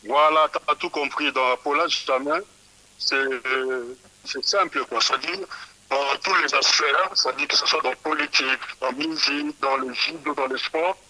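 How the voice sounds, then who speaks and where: A man's voice: medium pitch, fairly even intonation, normal speed, sharp tone, poor audio. A man from Kinshasa, a musician guest in a radio interview, who often stumbles and repeats small words as he talks. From Kinshasa, DR Congo.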